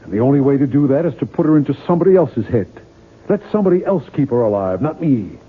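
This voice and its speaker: A grumbling, guilt-ridden novelist in a seventies radio drama. His mid-pitched voice is a little rough and he speaks curtly and wearily. The sound is narrow and tinny, like an old broadcast. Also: lively intonation, quick pace. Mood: guilty, determined. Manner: curt, weary, grumbling. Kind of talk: 1970s radio drama acting. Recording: muffled phone-like vintage radio recording.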